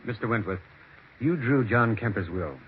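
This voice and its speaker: An actor playing a polite, probing amateur investigator in a 1940s radio mystery, courteous and slightly hesitant. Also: male voice, mid-range voice, lively intonation, normal speed, close to an everyday voice. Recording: muffled, faintly noisy 1940s radio recording.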